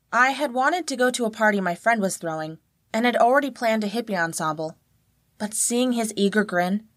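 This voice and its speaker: A woman's voice: medium pitch, no room sound. Woman narrating, close dry sound. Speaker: guest narrator. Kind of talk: first-person scripted story narration.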